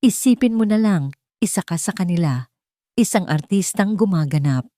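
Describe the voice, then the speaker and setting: A woman's voice: on the low side, animated, moderate pace, mellow, dry recording, clean studio sound, lightly acted. A woman voicing a kindly, encouraging older coworker with a Filipino accent in an audio story. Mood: reassuring.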